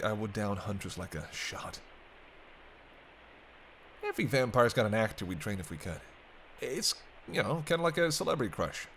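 Man playing a sardonic, teasing vampire over storm noise. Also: medium pitch. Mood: wry, teasing. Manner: sarcastic, casual, sweary banter. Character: teasing, sardonic male vampire held captive by a hunter. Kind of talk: roleplay audio drama, intimate banter. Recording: clear background ambience noise.

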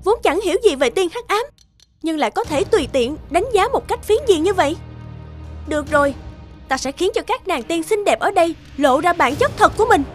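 Woman doing cartoon dark fairy, super high voice, proud n dramatic, misunderstood, music behind. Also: lively intonation, a little fast. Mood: haughty, cutting. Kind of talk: animated fairy tale dubbing.

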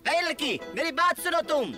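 A cocky sneering marten boss. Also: female voice, high voice, lively intonation, moderate pace, pushed and bright, soft background music. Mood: mocking. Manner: mocking, cackling, bossy. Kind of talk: Hindi cartoon dub.

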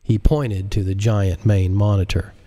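A man with a fairly low voice reads a novel aloud as its author. He narrates like an audiobook reader and drops into casual remarks for his stream. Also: steady tone, measured pace, warm tone. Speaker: author reading own audiobook on live stream.

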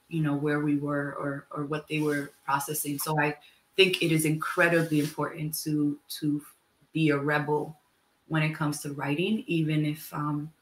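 A woman's voice: low-pitched, reverberant, poor audio. A woman in her thirties, an author, talking earnestly and thoughtfully on a video call from home, often restarting as she thinks. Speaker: author guest. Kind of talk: casual conversation on a video call. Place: room at home. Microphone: laptop webcam mic.

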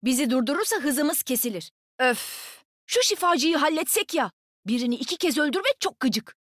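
A woman's voice: sits high, very animated, normal speed, fairly bright tone, no room sound, clean studio sound. A woman playing a cocky, nimble young female agent in a shooter game, with a sassy, brash, taunting manner. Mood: playful, bossy. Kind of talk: video game combat voicelines.

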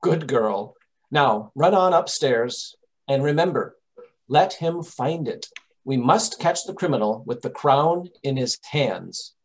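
Gentleman detective male actor, mid-range voice, composed, authoritative and reassuring, classic radio mystery delivery. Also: medium pitch, animated, takes it slowly, poor audio. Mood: firm, instructing. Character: calm, commanding gentleman detective. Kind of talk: old-time radio drama acting.

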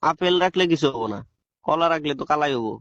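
A man's voice: a little high, expressive, fast talker, fairly bright tone, dry recording, lo-fi sound. A man playing an exasperated but patient shopkeeper in a comic phone skit, explaining repetitively with a rural eastern Bengali accent. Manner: explanatory, repetitive, hesitant restarts.

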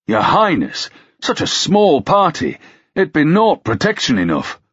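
A man's voice: low voice, measured pace, fairly bright tone, muffled recording. A man voicing a proud, stern knight in an audiobook, with harsh, brusque, archaic courtly speech. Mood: outraged. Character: proud, stern, gruff young-to-middle-aged male knight.

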